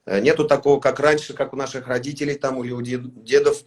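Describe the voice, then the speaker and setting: A man talks in a mid-range voice, neither high nor low. He speaks haltingly and gently, often stopping to find the right Russian word. It sounds like a relaxed, casual interview. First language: Tatar. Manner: halting, searching for words, soft-spoken. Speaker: singer, interview guest.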